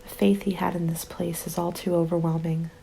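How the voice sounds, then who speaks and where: A woman playing a weary, despairing zombie apocalypse survivor in a scripted ASMR roleplay, hushed and often slipping into a whisper. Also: on the low side, level tone, fairly quick, vocal fry, low-quality recording. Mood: bleak, despairing. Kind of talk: ASMR roleplay, scripted first-person narration. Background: soft music bed.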